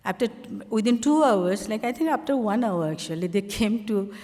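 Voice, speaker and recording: A woman from Bangladesh with a Bangladeshi accent, an artist telling the story behind her slides in a halting, conversational way full of fillers. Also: mid-range voice, warm tone, big-room sound. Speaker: artist presenting her work on a panel. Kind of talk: conversational talk walking through slides.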